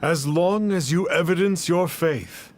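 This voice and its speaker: A man's voice: on the high side, measured pace. A man voicing a grave masked mystic who speaks in archaic, formal words and calls himself one, in a game with music behind. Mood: solemn. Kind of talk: game voice acting. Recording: game audio over music.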